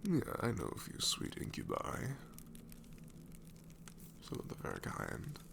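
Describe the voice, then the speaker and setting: A man voicing a gravelly sassy shadow demon. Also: lowish voice, huge pitch swings, a little slow, noisy background, heavily acted. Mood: wry. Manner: sarcastic, drawling, drops into whispers. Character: sassy married male shadow demon, grumbling but soft. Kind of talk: ASMR roleplay audio.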